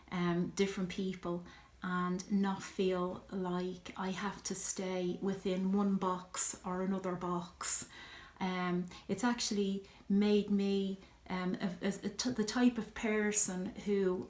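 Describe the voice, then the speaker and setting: A middle-aged woman with an Irish accent, talking reflectively in a personal vlog, pausing often with ums. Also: mid-range voice, roomy sound. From Ireland. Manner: reflective, earnest, many ums and you-knows. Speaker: vlogger.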